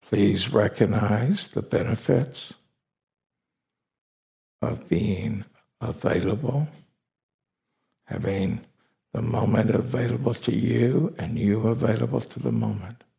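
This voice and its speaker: A man guides a meditation at a very slow pace, his voice heavily creaky with fry. He sits a little low in pitch and sets out short phrases deliberately, leaving long pauses between them. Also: warm tone. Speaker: meditation teacher.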